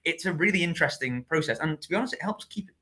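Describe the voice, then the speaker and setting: A man with a bright, forceful voice talks over a call. He speaks earnestly and thoughtfully as an interview guest. He often repeats and restarts words as he goes. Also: fairly high pitch, animated, fast talker. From Liverpool, England. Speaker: stage actor, interview guest. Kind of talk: conversational interview.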